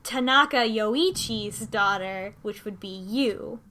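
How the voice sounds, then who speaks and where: A woman talking casually in a group discussion, recapping a game in a halting way and often restarting mid-thought. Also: mid-range voice, pushed and bright. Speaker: podcast host recapping a visual novel.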